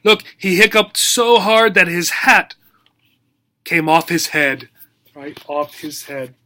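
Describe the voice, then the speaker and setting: A man reads to young children as their teacher, taking his time over simple, short phrases. He prompts his listeners with questions in an animated, instructive way, at an unhurried pace. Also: high-pitched. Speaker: teacher reading a picture book to young learners. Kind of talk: children's read-aloud lesson, asking questions.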